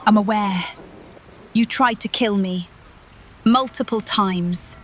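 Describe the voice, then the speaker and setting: A woman's voice: mid-range voice, slow. A woman playing a cool, dry-witted adventurer in fantasy game dialogue. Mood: dry, matter-of-fact.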